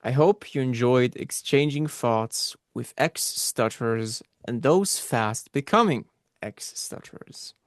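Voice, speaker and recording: A man hosting a support group on a video call, at a middling pitch, stuttering through repeated sounds and fresh starts. His voice is very dry and close, with no room sound at all. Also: animated, slow pace, no room sound. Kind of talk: casual group discussion.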